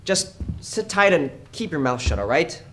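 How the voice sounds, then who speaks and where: A woman's voice: low-pitched, sing-song, moderate pace, a bit bright. A woman acting a guarded, menacing crime schemer in an amateur stage play, speaking tersely. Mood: controlled, menacing. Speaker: actor in a one-act play. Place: indoor stage. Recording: echoey room with faint noise.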